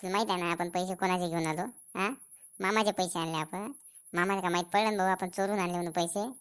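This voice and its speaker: A woman's voice: lowish voice, steady tone, quick pace, clear, resonant tone, lightly acted. A woman voicing a scheming, bossy young village rascal in a comic cartoon, lecturing and warning in a rural Maharashtrian accent.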